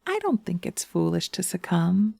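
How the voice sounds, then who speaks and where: A woman's voice: fairly low pitch, big pitch swings, moderate pace, gravelly, dark tone, breathy edge, no echo, full character voice. A woman voicing a sweet, seductive witch who soothes and coaxes, partly in whispers, in a hypnosis roleplay audio. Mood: soothing. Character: sweet, coaxing, seductive witch, gently teasing.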